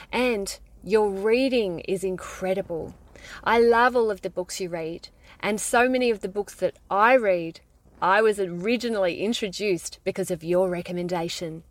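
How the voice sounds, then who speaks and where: A woman's voice: medium pitch, no room sound. A woman earnestly reading out a tribute praising someone.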